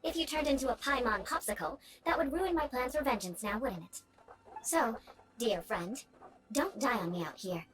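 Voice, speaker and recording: A woman's voice: fairly high pitch, expressive, moderate pace, a bit bright, soft background music, low-quality recording. A woman playing a poised young aristocratic knight in a video game, speaking formally and strictly with a teasing edge. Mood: teasing, composed. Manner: formal, composed, haughty instruction.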